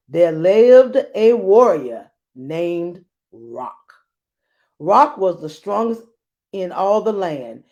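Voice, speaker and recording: A woman's voice: on the low side, mellow. A woman speaking as a teacher, explanatory and emphatic, giving a lesson and reading a storybook. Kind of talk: read-aloud lesson and storybook narration.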